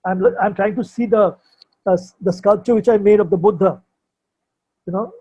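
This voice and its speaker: Older guy, high voice, kinda soft dark tone, dull call audio, fumbles for words explaining stuff. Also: fairly even intonation, normal speed, slightly rough. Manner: hesitant, repetitive, searching for words. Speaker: poet and sculptor, guest at a book launch. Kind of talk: informal explanation at an online book launch.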